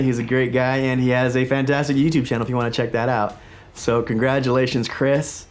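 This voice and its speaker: A man in his forties with a slightly raspy voice, still recovering from illness, hosting a toy collecting vlog from a small home studio in an enthusiastic, chatty way. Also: medium pitch. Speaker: toy collecting channel host. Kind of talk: casual vlog talk to camera.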